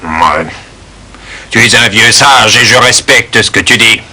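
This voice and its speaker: A man voicing a gruff, scolding, hot-tempered animal in a French cartoon dub, with a raspy voice. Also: fairly low pitch, measured pace, bright, forceful tone, full character voice. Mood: grumbling, contemptuous. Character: gruff, hot-tempered male animal who hates humans.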